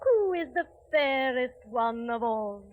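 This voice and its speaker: High-voiced, imperious evil queen. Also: expressive, slow, poor audio. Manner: imperious, theatrical incantation. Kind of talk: 1930s radio acting.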